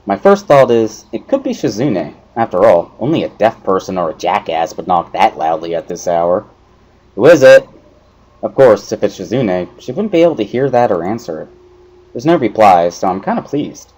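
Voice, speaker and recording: A man's voice: fairly low pitch, sing-song, normal speed, darkish tone, breathy edge. A man giving a dramatic first-person narration of a visual novel story. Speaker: narrator of a let's play dramatic reading.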